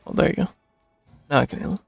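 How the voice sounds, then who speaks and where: A man's voice: quick pace, no room sound, muffled recording. A man streaming a video game, giving casual commentary and reading game text on a close microphone. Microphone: close streaming microphone, dry. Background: game music.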